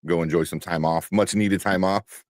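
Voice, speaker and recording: A man with a very deep voice co-hosting a casual livestream show, laid-back and chuckling, rambling with fillers. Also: fairly even intonation, moderate pace, no echo, clean studio sound. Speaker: co-host.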